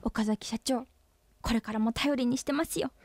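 An actress playing a blunt, teasing young company employee in a radio drama, with a deadpan, brisk delivery. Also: female voice, a little high, dry recording, natural voice. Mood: earnest.

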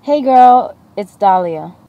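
An actress playing a reserved, secretive young exchange student from Cuba, speaking hesitantly in a naturalistic web drama. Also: female voice, mid-range voice, expressive, slow, phone-quality sound. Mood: casual. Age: young adult. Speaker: actor in a web series. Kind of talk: naturalistic short-film acting. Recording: location sound with clear ambient noise.

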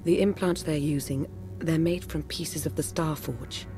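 An actress playing a composed, calculating intelligence agent in a video game, formal and precise. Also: female voice, low-pitched, steady tone, unhurried, music in the background. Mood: grave. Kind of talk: video game character acting.

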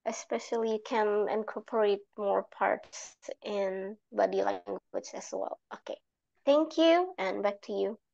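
A young woman with an Indonesian accent giving halting, simply phrased speech feedback as an evaluator on a video call. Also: mid-range voice, creaky, a little mellow, highs cut off. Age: young adult. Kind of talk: spoken speech feedback at a club meeting.

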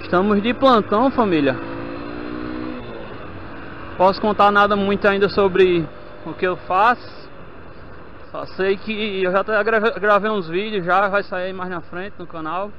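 Chatty motovlogger man, high voice. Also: unhurried, pauses often. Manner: chatty, addresses viewers as family. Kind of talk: casual chat while riding. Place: on a motorcycle in city traffic, outdoors. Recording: dull lo-fi helmet mic with road noise.

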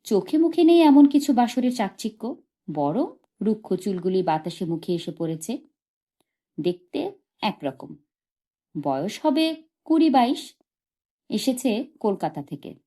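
A woman reading literary fiction aloud as an audiobook, in expressive scripted narration. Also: mid-range voice, a little slow, long pauses. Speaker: audiobook reader.

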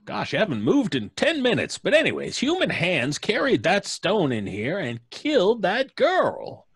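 An actor playing a crotchety, rattled small-town doctor in an old-time radio drama, blustery and folksy. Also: male voice, sits high, wildly swooping pitch, measured pace, fairly bright tone, husky, no room sound, studio quality, heavily acted. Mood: testy, rattled. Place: home recording, live remote video call.

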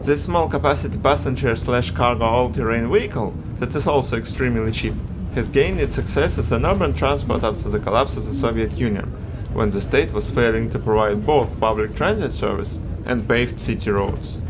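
A man from Russia, narrating a travel film in an earnest, measured voice-over. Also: medium pitch, background noise. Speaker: travel vlogger.

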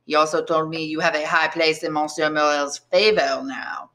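A woman's voice: deep voice, fairly even intonation, moderate pace, a bit bright. A woman voicing a smug, grinning young male tailor in an audiobook, breezy and self-satisfied. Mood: familiar, sly. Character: smug grinning tailor neighbour, man of twenty-five. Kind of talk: audiobook character dialogue.

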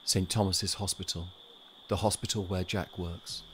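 A man's voice: low-pitched, little pitch movement, moderate pace, a little hiss or hum. A man reading scripted narration for an audio drama.

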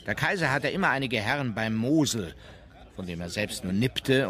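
An actor playing a wry member of an imperial travelling party, narrating memoirs in a radio drama. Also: male voice, mid-range voice, a bit bright.

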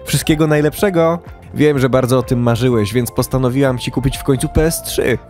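A man voicing an overjoyed, doting mother who shouts her birthday wishes. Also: mid-range voice, brisk, faint music behind, voice pushed up, close to an everyday voice. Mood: excited. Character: overjoyed middle-aged mother, loud and doting.

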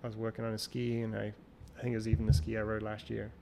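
A man with a gravelly, fairly low voice talks in a flat, level way, circling back and restarting his sentences as he thinks aloud. He is a guest in a casual interview, and a faint hiss of noise sits under the call recording. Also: fast talker. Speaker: guest, champion water skier.

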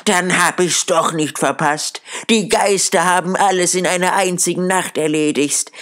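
A man voicing a giddy, overjoyed old miser who talks high and excitedly in a storybook reading. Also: normal speed.

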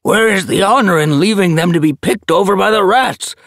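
A man's voice: mid-range voice, animated, unhurried, vocal fry, husky, dry recording, clean studio sound, voice pushed up, heavily acted. A man voicing a frail, grieving old male cat elder in a storybook reading, speaking in a shaky, protesting way.

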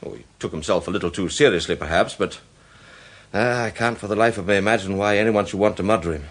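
An actor playing a polite, earnest gentleman who speaks hesitantly and apologetically in a radio drama. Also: on the low side, quick pace, close to an everyday voice. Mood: earnest, explaining. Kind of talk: British radio drama acting.

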